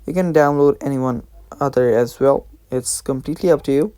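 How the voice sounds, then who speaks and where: A man's voice: mid-range voice, a little fast, dark tone, clean tone, dry recording. A man hosting a how-to video, narrating in a casual, informal way. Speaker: tutorial host.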